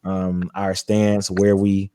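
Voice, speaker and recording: A man in his thirties with a deep voice, calmly telling his background as an interview guest. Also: slow, a little hiss or hum. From Brooklyn, New York, raised in Charlotte, North Carolina.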